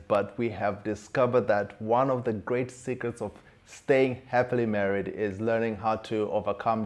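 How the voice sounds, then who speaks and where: A man's voice: on the low side, darkish tone. A man from Tanzania, a Swahili speaker, presenting a church teaching to camera in a studio. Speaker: church leader. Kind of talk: presenting a sermon segment to camera. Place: studio set.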